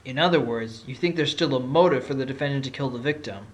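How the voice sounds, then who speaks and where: A man playing a confident, articulate defense lawyer with a quick wit in an audio drama. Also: mid-range voice, natural voice. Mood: wry. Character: confident, quick-witted male defense lawyer with teasing streak. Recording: music behind the voice. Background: background music.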